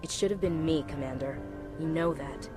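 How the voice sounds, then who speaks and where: A proud young servicewoman voiced by an actress speaks earnestly and respectfully, with a stubborn streak. Her voice is fairly low, and music plays clearly behind this game cutscene. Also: moderate pace, lightly acted. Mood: anguished. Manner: earnest, deferential yet stubborn. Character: earnest, dutiful young female soldier, proud and guilt-ridden. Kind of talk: video game cutscene acting.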